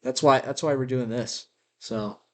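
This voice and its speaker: A man's voice: medium pitch, steady tone, measured pace, a bit bright. A man with a slight lisp rambling through a personal audio journal in a musing, self-deprecating way, recorded on a built-in laptop mic while walking around a house. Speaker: vlogger. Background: dogs' claws clicking.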